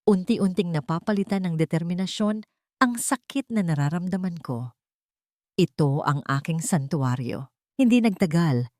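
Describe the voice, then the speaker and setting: A woman with a Filipino accent reading a scripted first-person story as an audiobook narrator, measured and dramatic. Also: lowish voice, darkish tone, dry recording, clean studio sound.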